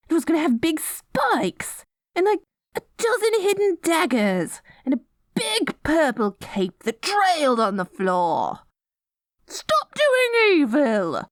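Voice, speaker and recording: A woman's voice: high voice, dry recording, studio quality, heavily acted. A woman playing a short, dorky evil queen in a scripted audio roleplay, melodramatic and gleefully scheming, given to petty indignant outbursts. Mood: dreamy admiration.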